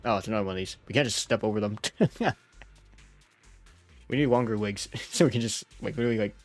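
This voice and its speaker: A man's voice: fairly low pitch, fast. A man doing casual, chatty live gameplay commentary as a streamer, with game music behind him. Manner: chatty, laughs often, casual swearing. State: feeling unwell. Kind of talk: casual live gameplay commentary, reading story summaries aloud.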